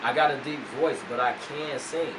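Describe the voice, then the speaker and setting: A man's voice: a little high, steady tone, normal speed, over a noisy crowd. A young man who is a rapper, talking as an interview guest in a loose, laid-back way with lots of slang and fillers. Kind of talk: casual interview chat. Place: radio studio. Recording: roomy, distant mic, noisy hum.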